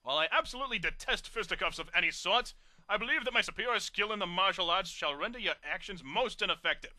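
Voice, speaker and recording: A man's voice: sits high, expressive, sharp tone, no echo, full character voice. A man doing a snooty monocled pegasus. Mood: haughty. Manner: snooty, haughty, drawn-out.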